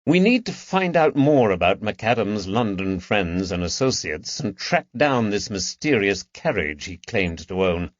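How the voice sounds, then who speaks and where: A man voicing a calm, perceptive Victorian detective with a gentle, measured way of talking, in a dull, dry recording. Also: on the low side, no echo. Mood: thoughtful.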